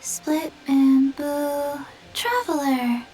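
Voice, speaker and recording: A placid little girl from a video game. Her soft voice is high and dark, and she speaks haltingly, as if forgetful. Faint music sits behind. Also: low-quality recording. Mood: placid, muddled. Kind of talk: video game character acting.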